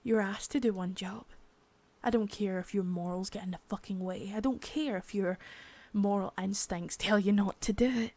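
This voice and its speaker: A woman voicing a sadistic, menacing female boss in an ASMR roleplay, whispering softly with spoken threats between. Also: medium pitch, normal speed, lightly acted. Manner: taunting and threatening.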